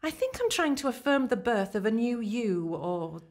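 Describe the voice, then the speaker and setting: Radio drama: actress as reassuring female therapist, upbeat, slightly daft, higher-pitched with very expressive intonation. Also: normal speed. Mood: awkwardly cheery. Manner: earnest, reassuring. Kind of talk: radio play acting.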